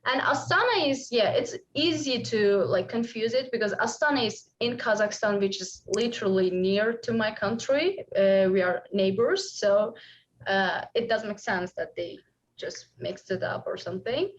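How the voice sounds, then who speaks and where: A woman with an Uzbek accent talks as a guest in an online chess class. Her English comes in halting bursts full of "like" and "uh," broken by cheerful laughter. The call audio is dull and lo-fi, with faint noise underneath. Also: medium pitch.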